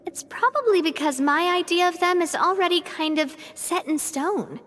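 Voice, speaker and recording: A woman's voice: extremely high pitch, normal speed. A woman playing a confident, cheerful and gracious young lady boss in a video game, speaking in an encouraging way. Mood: thoughtful. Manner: bright, encouraging, animated.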